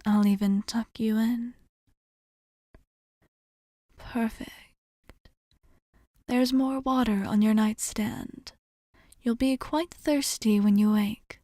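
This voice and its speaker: A woman performs a composed vampire bartender in an intimate ASMR roleplay, tending to the listener with formal, faintly possessive care. Her voice is soft, mellow and somewhat high, often slipping into a breathy whisper. The sound is very dry and close, with gentle commands given in a measured way. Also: no echo.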